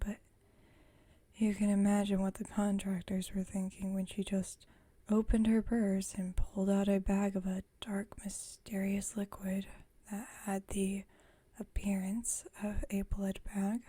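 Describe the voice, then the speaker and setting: A woman playing a chatty, gentle, wry vampire roommate in an ASMR roleplay, speaking in a breathy, intimate whisper. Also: mid-range voice. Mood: wry, exasperated. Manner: unhurried, conversational, lightly wry. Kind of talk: ASMR roleplay, intimate one-sided chat.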